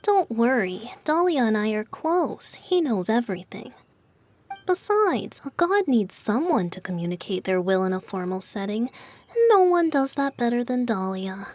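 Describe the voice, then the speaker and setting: A woman's voice: on the high side, sing-song, normal speed, darkish tone. A woman voicing a playful, whimsical boyish wind-god bard in a video game, airy and theatrical. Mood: breezy, playful. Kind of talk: English video game dub. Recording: music behind the voice.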